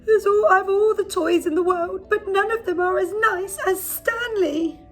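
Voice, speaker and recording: A woman's voice: very high voice, measured pace, faint music behind, pitched above the performer's own voice. A woman voicing a spoiled, silly, weepy queen in a children's story, wailing and pleading melodramatically. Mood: tearful, pleading.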